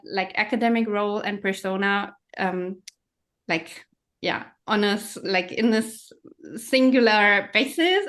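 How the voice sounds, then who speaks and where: Reflective woman on a call. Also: mid-range voice. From Germany. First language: German. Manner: reflective, searching for words. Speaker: podcast guest. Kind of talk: casual conversation.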